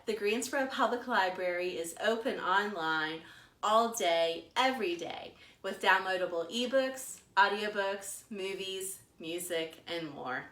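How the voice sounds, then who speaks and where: An American woman, a children's librarian, telling a story to young children with deliberate, dramatic emphasis. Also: mid-range voice, pushed and bright. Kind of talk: expressive storytelling for preschoolers. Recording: roomy echo.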